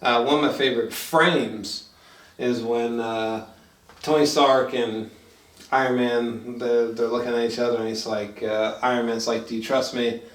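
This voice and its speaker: A man chatting casually through a movie review, rambling and halting, with frequent swearing. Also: medium pitch, fairly even intonation. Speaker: film review host. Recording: roomy echo, distant from mic.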